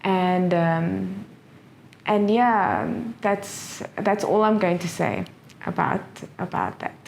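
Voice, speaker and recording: This is a middle-aged woman with a South African accent, talking in a relaxed, earnest way as an interview guest. She laughs often and doubles back on her words. Her voice sits in a middle range, with a light noise floor under it. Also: medium pitch, drawn-out words, creaky. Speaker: professor guest. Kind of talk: casual interview answers.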